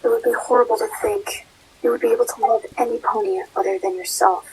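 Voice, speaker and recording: A woman's voice: fairly high pitch, big pitch swings, quick pace, vocal fry, a little mellow, rough recording. A woman voicing an angry, regal pony princess in an amateur fan-made audio drama, seething and muttering dramatically. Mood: cold contempt. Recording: hissy home recording with rain and thunder.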